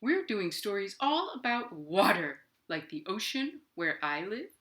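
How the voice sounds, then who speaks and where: Woman playing a bright, friendly narwhal puppet. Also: mid-range voice, very animated, measured pace. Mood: cheerful, informative. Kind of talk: children's puppet show dialogue.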